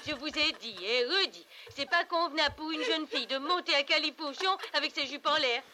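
An actress dubbing a stern, indignant older nanny who scolds about what is proper. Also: female voice, high-pitched, brisk, sharp tone. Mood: scolding. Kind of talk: dubbed film dialogue. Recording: film soundtrack with music.

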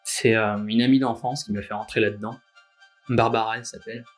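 A man in his twenties playing a nervous, naive young robbery suspect in an audio drama, speaking informally and haltingly. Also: on the low side, fairly even intonation, soft background music, lightly acted. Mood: hesitant, confiding. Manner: hesitant, informal, stammering. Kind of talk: scripted audio drama dialogue and recounting.

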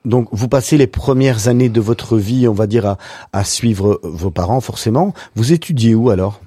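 A man's voice: on the low side, normal speed, mellow, slightly rough, dry recording, studio quality. A man hosting an interview show, chatty and repeating words as he frames his questions. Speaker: radio show host.

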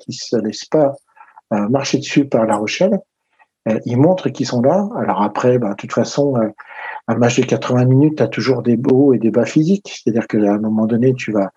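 A man's voice: medium pitch, mellow, slightly rough, no room sound. A man on a rugby talk show, chatting casually and hesitantly with many fillers and restarts. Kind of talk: casual sports chat. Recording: dull lo-fi sound.

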